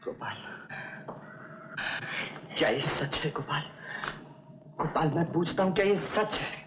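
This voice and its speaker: An actor playing a passionate, loyal best friend in an old Hindi film melodrama, insistent and theatrical. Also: male voice, a little high, takes it slowly. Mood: wounded, impassioned. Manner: impassioned, demanding, theatrical. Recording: dull, lo-fi old film soundtrack, hissy.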